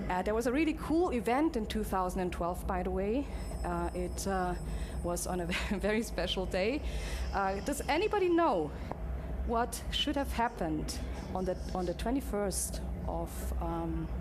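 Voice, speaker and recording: A woman giving an informal live presentation, conversational and a little hesitant, speaking directly to her audience. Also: on the low side. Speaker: presenter of a demo session. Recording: clearly noisy live event recording.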